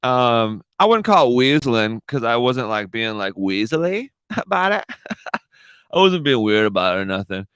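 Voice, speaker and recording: A man's voice: mid-range voice, very animated, normal speed, fairly bright tone, smooth, no echo, studio quality. A young man hosting his own podcast, rambling in a laid-back, profane way and saying dude a lot, into a podcast microphone. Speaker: podcast host. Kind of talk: rambling casual monologue. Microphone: studio podcast microphone.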